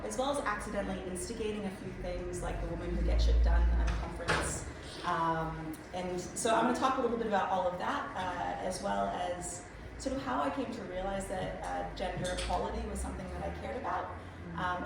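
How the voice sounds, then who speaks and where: A woman's voice: fairly low pitch, gravelly. Woman, 30s, American-Kiwi accent, casual conference speaker, distant mic, noisy reverberant room. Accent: American-New Zealand mix. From United States and New Zealand. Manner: chatty, self-mocking, many ums. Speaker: guest speaker. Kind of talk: conference talk. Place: large echoey meeting room.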